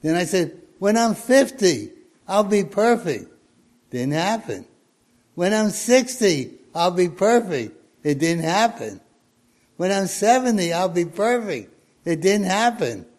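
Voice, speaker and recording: A man in his eighties preaching a sermon, reading scripture in a slow, measured way. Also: sits high, big pitch swings, a little mellow. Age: 80s.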